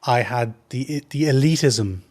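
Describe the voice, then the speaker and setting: Earnest Irish man, measured telling. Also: medium pitch, darkish tone. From Ireland. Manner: earnest, measured, with frequent restarts. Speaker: trauma surgeon guest. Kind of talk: reflective interview answers.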